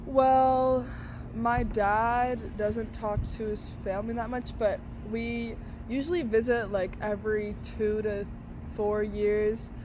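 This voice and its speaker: A woman's voice: fairly high pitch, level tone, takes it slowly. A young woman from Brazil chatting in an interview, full of "like" and restarts. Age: young adult. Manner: chatty, many fillers and restarts. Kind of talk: casual interview outdoors. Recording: outdoor street noise.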